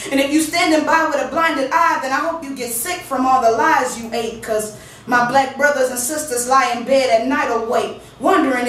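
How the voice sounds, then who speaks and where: A woman's voice: high-pitched, fairly bright tone. A woman performing spoken word poetry with a rhythmic, emphatic delivery and loose, conversational asides between pieces, at a small living-room poetry venue. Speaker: featured poet. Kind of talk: spoken word poetry with casual stage banter. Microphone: room recording from a distance. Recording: very echoey room, distant mic, faint hum.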